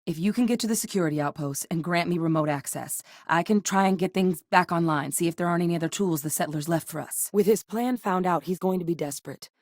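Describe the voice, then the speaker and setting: An actress playing a seasoned, no-nonsense soldier turned xenobiologist in a space video game, composed, matter-of-fact and earnest. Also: female voice, on the low side, quick pace, hardly any pauses, no echo, clean studio sound, lightly acted. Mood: serious, analytical. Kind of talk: scripted video game dialogue.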